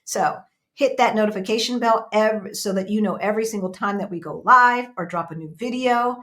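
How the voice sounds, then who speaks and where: A woman's voice: mid-range voice, brisk, a bit bright. A woman co-hosting an interview, talking in a chatty, upbeat way with playful asides. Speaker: co-host. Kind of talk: casual interview chat.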